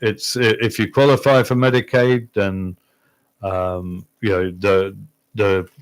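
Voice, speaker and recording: A man's voice: fairly low pitch, little pitch movement, slow pace, clean tone. A man, a guest on a local radio talk show, speaking hesitantly with many restarts. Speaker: insurance agent guest. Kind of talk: casual radio chat. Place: radio studio.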